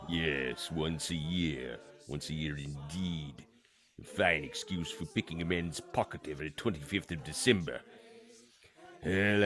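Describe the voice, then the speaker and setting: A man acts out a cold old miser for a radio play. The voice is very low and has a forceful, bright edge. He is curt and scornful, grumbling with irritation. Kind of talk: theatrical radio play acting.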